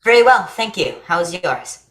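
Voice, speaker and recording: A bright, forward voice: a woman playing a young schoolboy in a drama reading. He is curious and earnest, talking in an eager, informal, questioning way, a little low for a woman. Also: big pitch swings, normal speed. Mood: friendly, amused. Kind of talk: staged reading of family drama.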